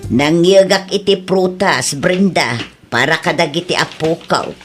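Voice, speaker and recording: A woman's voice: on the low side, a little slow, over music, close to an everyday voice. A woman playing a caring mother with an Ilocano accent who gives patient advice in an audio drama. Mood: advising. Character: caring middle-aged mother, gently advising.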